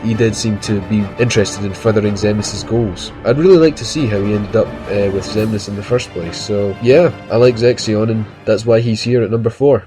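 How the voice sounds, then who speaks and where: A man's voice: fairly low pitch, brisk, hardly any pauses, mellow. A man with a cold giving earnest, casual commentary on his favorite game characters, often restarting his sentences as he thinks aloud. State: has a cold. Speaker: video game fan commentator. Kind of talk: casual ranked-list commentary. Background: music.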